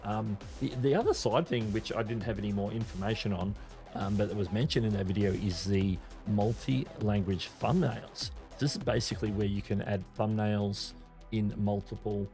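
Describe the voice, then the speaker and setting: A man's voice: lowish voice, dark tone. Rambling man hosting a live stream. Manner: rambling, many restarts and fillers; reads viewer chat aloud. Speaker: host.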